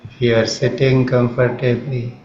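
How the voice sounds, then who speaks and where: A man with an Indian accent leads a guided meditation in a calm, measured manner, leaving long pauses between phrases. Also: medium pitch. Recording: roomy, slightly muffled, faint background noise.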